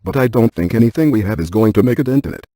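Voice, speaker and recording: A man playing an analytical ghost-hunting scientist in a video game, speaking in a precise, technical, deadpan way. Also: lowish voice, racing, no echo. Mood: matter-of-fact, grave. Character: earnest, analytical male scientist ghost hunter, matter-of-fact.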